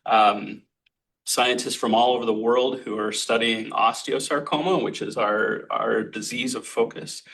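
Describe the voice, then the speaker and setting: A man who runs the lab introduces his student at a thesis defense, praising him in a relaxed way with fillers. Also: medium pitch, measured pace, creaky, poor audio. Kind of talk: introductory remarks.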